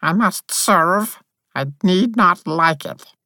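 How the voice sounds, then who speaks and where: A man voicing a small, gloomy female faerie warrior who speaks in a flat, dreamy monotone with theatrical gloom. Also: high voice, slow pace, no echo, clean studio sound, pitched above the performer's own voice, heavily acted.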